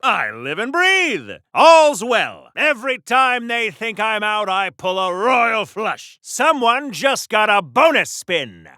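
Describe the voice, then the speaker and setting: A patriotic, old-fashioned American superhero from a video game. His voice is high and forcefully bright, recorded very dry. He declaims with wholesome, pompous righteousness in the exclamatory style of a 1940s radio announcer. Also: sing-song, slow, few pauses, no room sound, full character voice. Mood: triumphant. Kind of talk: 1940s radio serial hero acting.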